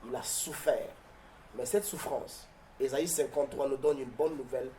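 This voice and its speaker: A man from southern Congo-Brazzaville, with Mbembe as his first language, speaks in a declamatory, preacherly way, pausing on hesitant fillers. His voice is mellow and sits at an average pitch. A faint noise hangs behind it. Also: fairly even intonation. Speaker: pastor and guest. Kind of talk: interview answers turning to a prayer.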